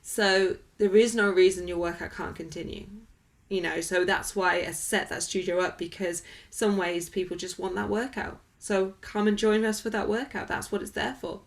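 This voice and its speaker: A woman's voice: medium pitch, steady tone, moderate pace, creaky. A woman being interviewed as a wellness coach, talking conversationally in an emphatic, motivational way with everyday examples.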